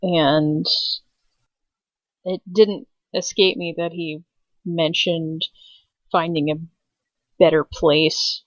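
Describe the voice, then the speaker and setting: A man's voice: fairly high pitch, creaky, dry recording. A man playing a conscience-troubled wanderer in a tabletop game, hesitant and reflective, on slightly dull audio. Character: uncertain, conscience-troubled wanderer far from home.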